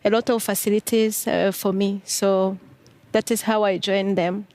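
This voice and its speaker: Halting non-native woman guest. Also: medium pitch, little pitch movement, a little mellow. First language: not English. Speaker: customer guest. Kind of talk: panel interview answer.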